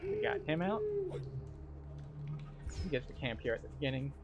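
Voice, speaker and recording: A man's voice: mid-range voice, huge pitch swings, normal speed, highs cut off, very noisy. A man narrating a video game walkthrough as a tour guide, explaining each step. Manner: explanatory, guiding.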